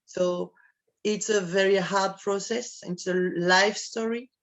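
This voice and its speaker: A woman from France with a French accent, speaking English as a guest on an interview and often pausing to search for words. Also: mid-range voice, steady tone, poor audio. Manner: halting, searching for words with many restarts. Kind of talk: casual interview.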